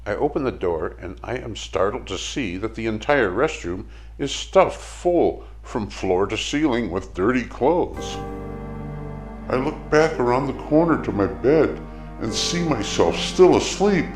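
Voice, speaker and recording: A man narrating a first-person dramatic story in a reflective, measured way, reading from a script. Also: medium pitch, expressive. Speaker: storyteller narrating his own audio play. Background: music.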